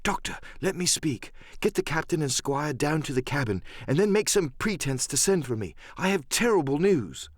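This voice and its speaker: Guy doing an anxious cabin boy, urgent hushed pleading, voice pitched up a bit, audiobook, dry. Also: big pitch swings, normal speed, few pauses, dry recording. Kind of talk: audiobook radio-play acting.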